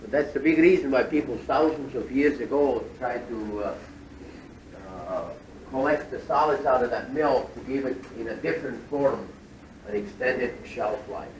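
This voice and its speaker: A man from Switzerland, guiding a tour through a cheese factory and explaining things at an unhurried pace with many fillers. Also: medium pitch. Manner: unhurried, many fillers, slightly foreign phrasing. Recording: echoey factory hall, distant mic, machinery noise.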